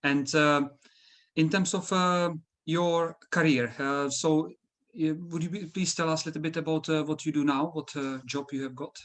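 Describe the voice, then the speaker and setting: A man's voice: a little high, steady tone, moderate pace, a bit bright. A man interviewing a guest, asking questions politely and hesitantly with many fillers.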